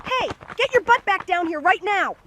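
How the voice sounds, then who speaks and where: A woman playing a bossy, excitable boy who leads a team of kid heroes in an amateur cartoon comic dub. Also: extremely high pitch, moderate pace, background noise. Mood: urgent, excited. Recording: music under the voice.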